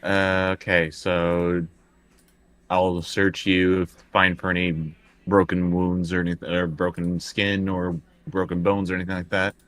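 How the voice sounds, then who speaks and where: A man's voice: low-pitched, little pitch movement, a little slow, a bit bright. A man chatting casually as a player in a tabletop game, hesitant and full of questions.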